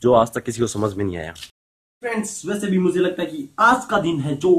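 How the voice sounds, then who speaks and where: A man's voice: a little high, big pitch swings, moderate pace, fairly bright tone. Playful young man vlogging to camera. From India. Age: young adult. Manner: playful, jumps between Hindi and broken English. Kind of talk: casual vlog to camera. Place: small home room and village outdoors. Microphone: handheld camera at arm's length.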